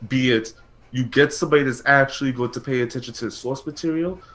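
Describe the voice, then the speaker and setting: Man, medium pitch, casual podcast host, rambling, halting, repeats words. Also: fairly bright tone. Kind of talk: casual chat on a live-streamed video game podcast.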